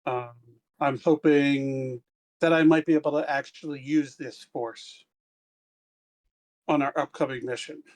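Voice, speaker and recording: A man playing a tabletop game, speaking in a slow, hesitant way. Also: mid-range voice, fairly even intonation, darkish tone. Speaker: player.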